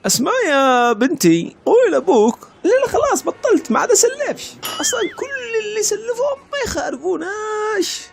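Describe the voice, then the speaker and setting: A woman's voice: very high-pitched, very animated, brisk, vocal fry, noisy background, exaggerated voice. A woman voicing a stingy, grumpy male shopkeeper in a comic cartoon, blustering, with an Adeni Yemeni accent. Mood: exasperated.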